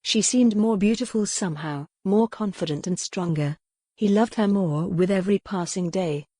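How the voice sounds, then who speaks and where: A woman's voice: mid-range voice, normal speed, mellow, no echo, studio quality. A woman reading historical fiction as a scripted, dramatic audiobook narration.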